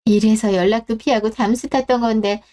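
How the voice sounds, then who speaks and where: A woman's voice: on the high side, fairly even intonation, a little fast, dark tone, voice pushed down, natural voice. A woman voicing a brazen, sarcastic daughter-in-law in a confrontational scripted family drama story. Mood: scornful, irritated. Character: brazen, scornful daughter-in-law, sharp-tongued mother.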